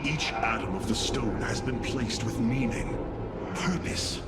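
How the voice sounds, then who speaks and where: A solemn, reverent alien leader from a video game, acted by a man. He speaks in a slow, formal, stately way at a middling pitch. Loud dramatic music swells behind his voice. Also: lightly acted. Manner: formal, grave, measured, stately. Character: solemn, noble male alien Protoss leader, reverent and resolute. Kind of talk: dramatic game cutscene acting. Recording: voice over loud cinematic music.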